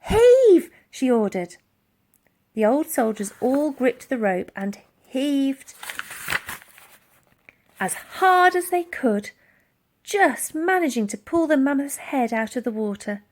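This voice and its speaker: A woman reading a children's story aloud in an expressive, dramatic storytelling style. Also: high-pitched, takes it slowly, pauses often. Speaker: audiobook reader.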